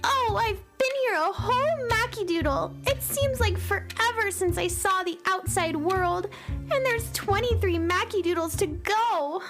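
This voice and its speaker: A woman's voice: extremely high pitch, unhurried, a bit bright, soft background music, heavily acted. A woman playing an earnest, perky little girl space heroine in a children's radio comedy, exclaiming with indignation. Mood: dismayed. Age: child figure.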